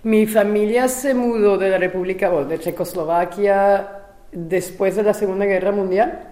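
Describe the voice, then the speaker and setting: A woman, a playwright giving an interview, talking in a casual recollecting way with pauses, fillers and uneven pace. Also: medium pitch, fairly even intonation, mellow, reverberant. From Venezuela. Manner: hesitant, restarts and fillers, speeds up and slows down.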